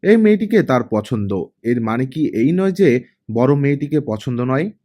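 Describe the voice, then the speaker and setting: A man's voice: medium pitch, sing-song, normal speed, dark tone. A man narrating a scripted story as an audiobook reader, acting out the dialogue as he goes.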